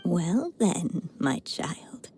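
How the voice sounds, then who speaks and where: Slow, soft, weary elderly storybook writer. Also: female voice, lowish voice, big pitch swings, breathy edge, soft background music, full character voice. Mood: tender, hopeful. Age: older. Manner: slow, reflective, earnest. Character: gentle, wistful, dying elderly female storybook writer. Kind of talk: video game voice acting.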